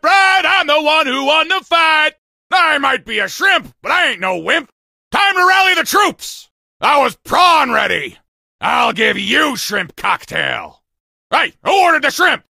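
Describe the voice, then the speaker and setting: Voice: high-pitched, gravelly, pushed and bright, dry recording, studio quality, heavily acted. An actor voicing a small, hot-headed shrimp soldier for a video game, barking cocky drill-sergeant bluster with theatrical bravado. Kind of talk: video game character voice lines.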